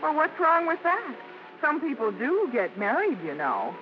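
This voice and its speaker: An actress playing a proud, spirited young American vaudeville dancer, tender yet easily indignant, in theatrical 1950s radio drama. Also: female voice, high voice, huge pitch swings, unhurried, full character voice. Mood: guarded. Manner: theatrical, emphatic. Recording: muffled, noisy old radio broadcast.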